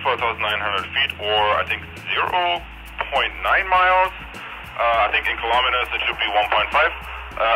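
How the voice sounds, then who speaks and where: A man's voice: medium pitch, rough recording. A man giving casual test commentary over a two-way radio, repeating his phrases like announcements. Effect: heard over a walkie-talkie radio. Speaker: product reviewer. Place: outdoors, transmitted by two-way radio.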